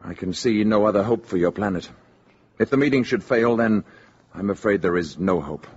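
An actor playing a grave, calm alien visitor in human form in a 1950s radio drama, measured and solemn. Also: male voice, fairly low pitch, husky. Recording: dull, noisy vintage 1950s radio recording.

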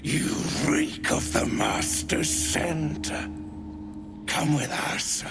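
A man voicing a servile, monstrous guard beast in a video game, growling and rasping in halting, simple phrases. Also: on the high side, animated, music in the background, full character voice. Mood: threatening.

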